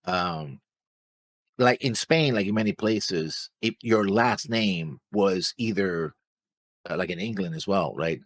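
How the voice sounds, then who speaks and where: Man, low pitch, casual conversation, rambling explanations, show host, dry sound. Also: slow, no echo. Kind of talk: casual chat.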